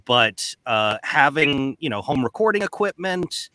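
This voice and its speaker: A man's voice: medium pitch, unhurried, dry recording. A man chatting casually and expressively as a voice actor on a panel. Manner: animated, expressive. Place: home recording booth, on a video call. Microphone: close studio microphone.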